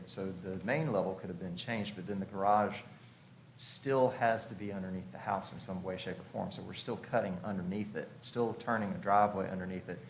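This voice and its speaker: A man giving an informal explanatory presentation at a public hearing, conversational and thinking aloud as he goes. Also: deep voice. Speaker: house designer presenting to a review commission. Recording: echoey room, clearly audible background noise.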